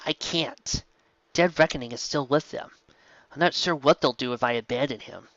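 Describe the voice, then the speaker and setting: A man's voice: mid-range voice, normal speed, a bit bright, no echo, lo-fi sound, natural voice. A man voicing a young female pegasus pony, casual, blunt and stubborn, in informal everyday phrasing. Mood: reluctant.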